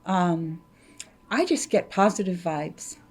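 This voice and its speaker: A woman who hosts on public radio, chatting enthusiastically with halting restarts. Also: fairly low pitch, expressive, a little mellow, breathy edge, soft background music. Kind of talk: casual radio studio chat.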